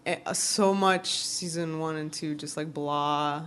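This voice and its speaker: A woman's voice: low-pitched, steady tone, measured pace, fairly bright tone, clean tone. A woman co-hosting a podcast, chatting casually in a blunt, offhand way. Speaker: podcast co-host. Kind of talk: casual TV recap chat. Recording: noticeable background noise.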